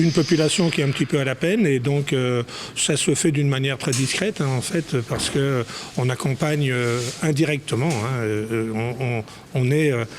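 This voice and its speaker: A man's voice: medium pitch, slight background noise. A man who volunteers as a board member, explaining earnestly with frequent hesitations. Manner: hesitant, many fillers, earnest. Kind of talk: interview answers.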